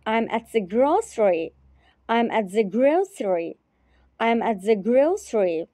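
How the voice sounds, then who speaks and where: A woman teacher repeating short phrases slowly and carefully in a repetition drill. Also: fairly high pitch, very animated, dark tone, no echo, studio quality. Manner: repeats each phrase three times, carefully.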